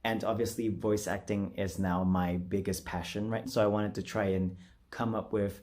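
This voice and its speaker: Low-voiced young man chatting casually. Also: little pitch movement, moderate pace, a little mellow. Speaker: voice actor and video creator. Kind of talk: casual chat to viewers.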